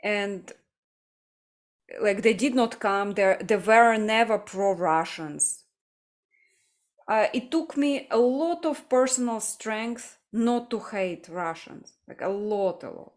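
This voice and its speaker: A woman from Ukraine hosting a livestream discussion, speaking earnestly and haltingly as she searches for her words. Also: mid-range voice. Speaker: livestream host. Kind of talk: casual discussion.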